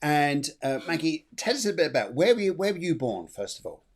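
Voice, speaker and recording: A man's voice: a little high, sing-song, fairly quick. A middle-aged man hosting a live online chat show, chatty and rambling, laughing often. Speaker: host.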